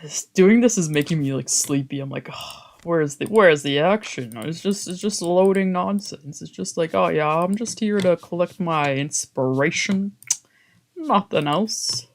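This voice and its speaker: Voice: medium pitch, normal speed. A streamer giving casual, reactive let's play commentary on a visual novel, often muttering and exclaiming to themself.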